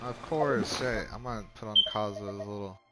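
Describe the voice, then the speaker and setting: A man's voice: on the low side, normal speed. A man giving casual commentary as he plays through a game, in laid-back, muttered asides. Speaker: gaming streamer. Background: game music.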